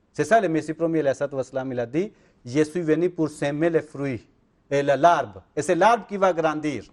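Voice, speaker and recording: A man, speaking in a fairly high voice. He argues insistently as an interview guest, circling back to check that he is understood. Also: darkish tone. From Pakistan. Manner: insistent, repeats "vous comprenez?". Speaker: Ahmadi missionary guest. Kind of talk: religious interview argument.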